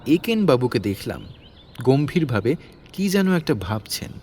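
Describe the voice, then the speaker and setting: A man's voice: mid-range voice, big pitch swings, unhurried, a little mellow, a little hiss or hum. A man narrating a scripted detective story as its narrator.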